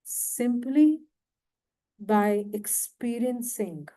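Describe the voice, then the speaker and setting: A woman giving a devotional lecture to a temple audience, slow and often asking questions. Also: medium pitch, warm tone, rough recording. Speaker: guest lecturer. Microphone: handheld mic, failing at times.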